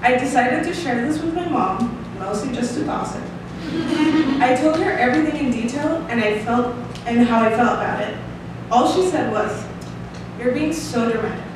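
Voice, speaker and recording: A woman's voice: fairly high pitch, normal speed. A woman in her twenties with a Costa Rican Spanish accent, a native Spanish speaker from Costa Rica, giving an earnest prepared speech read from paper at a lectern. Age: 20s. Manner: earnest, reading aloud with hand gestures, light laughs. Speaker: student speaker. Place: small room, standing at a lectern. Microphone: stand mic at some distance. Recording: echoey room, distant mic, audible hiss.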